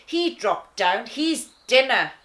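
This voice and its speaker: Woman voicing a grumpy, hungry lioness, blunt and animated. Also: on the high side, slow, pitched above the performer's own voice, heavily acted. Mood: fierce, impatient. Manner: growling, grumbling, blunt.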